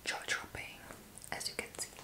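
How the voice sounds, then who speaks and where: Playful whispering young woman, close mic. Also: moderate pace. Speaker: ASMR creator. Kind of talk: whispered ASMR roleplay. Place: small bedroom lit with fairy lights.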